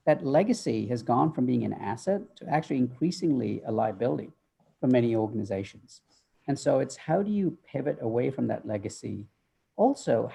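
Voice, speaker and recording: A man's voice: mid-range voice, gravelly, dark tone, slightly rough. A middle-aged man from Malaysia who grew up in the UK, answering thoughtfully on a remote interview call with many ums. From Malaysia, raised in the UK. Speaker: guest, future-of-work author. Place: home office. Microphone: video call.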